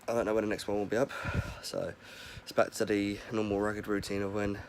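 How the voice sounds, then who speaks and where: Hoarse young man, low-ish voice, chatty vlogger; loud noise behind. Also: little pitch movement, a little fast. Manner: rambling, chatty, filler-heavy. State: hoarse with a head cold. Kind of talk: casual vlog chat.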